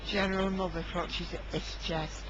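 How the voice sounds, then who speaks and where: A man's voice: high voice, level tone, moderate pace. A man narrates a homemade audio drama in a scripted, scene-by-scene way. The recording is dull and muffled, with plenty of noise around the voice.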